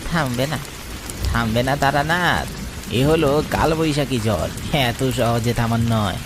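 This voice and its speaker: A man's voice: medium pitch, lively intonation, measured pace, close to an everyday voice. A man playing a chatty villager at a tea stall in cartoon dialogue. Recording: noisy rainstorm ambience behind voice.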